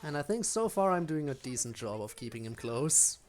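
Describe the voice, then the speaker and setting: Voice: medium pitch, big pitch swings, normal speed, darkish tone. A game streamer giving casual live gameplay commentary, talking half to themselves and half to the enemies on screen.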